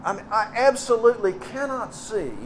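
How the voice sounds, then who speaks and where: A middle-aged man with a Texas accent preaching in a conversational, emphatic way, pausing on key phrases. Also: high voice, a little hiss or hum, low-quality recording. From Texas, United States. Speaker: preacher and seminary teacher. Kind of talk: expository sermon with anecdotes.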